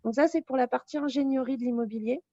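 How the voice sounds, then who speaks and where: Woman teacher walking thru a course, pretty high voice, informal, dry slightly muffled sound. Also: fast, dark tone, dry recording. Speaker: teacher presenting a real estate course. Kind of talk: informal explanatory presentation.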